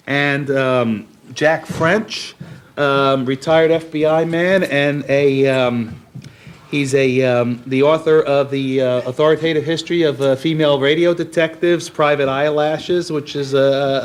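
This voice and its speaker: A man hosting a panel, giving informal introductions in a halting, hesitant way. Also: medium pitch. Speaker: panel host. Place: convention panel room.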